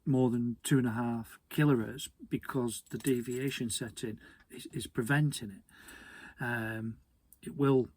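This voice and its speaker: A British man giving an informal technical explainer in a conversational, hesitant way, often repeating words, with a husky, gravelly voice. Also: medium pitch, fairly even intonation, unhurried, darkish tone, slight background noise. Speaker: hobby radio presenter.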